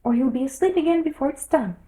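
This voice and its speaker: A woman's voice: high voice, lively intonation, quick pace, warm tone, pitched above the performer's own voice. A woman voicing a rude, pushy, self-satisfied man in a storybook reading. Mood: impatient. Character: bossy, rude, triumphant male Hatter.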